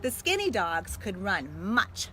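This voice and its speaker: An American woman, a school librarian, reading a picture book aloud to young children in an expressive way. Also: mid-range voice, takes it slowly. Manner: animated, expressive delivery aimed at young children. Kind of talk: read-aloud storytelling for children. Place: at home. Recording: noisy home video with background sounds.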